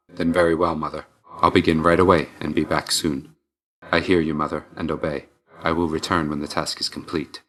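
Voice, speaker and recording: A man voicing a stern, reserved and dutiful god of death in a video game, speaking in a formal, measured way. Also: deep voice, a bit bright. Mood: formal, obedient. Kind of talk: video game character voice lines.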